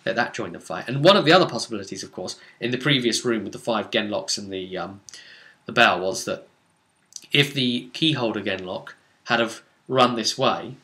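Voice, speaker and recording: Bright-voiced man recounting a tabletop adventure. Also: fairly low pitch, lively intonation, normal speed. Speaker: game master recapping a tabletop game session. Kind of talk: casual narrated recap of a role-playing adventure.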